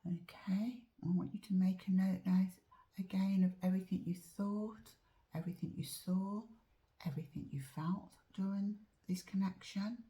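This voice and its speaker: A woman guiding a meditation in a soft, often whispered voice, slow and drawn-out, a little chesty as she is losing her voice. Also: mid-range voice, steady tone. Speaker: meditation teacher. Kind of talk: guided meditation.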